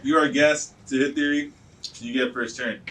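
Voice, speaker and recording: A man's voice: mid-range voice, steady tone, moderate pace. A man hosting a casual beer pong chat, laid-back and slangy, teasing his guest. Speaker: host. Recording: distant mic with loud background noise.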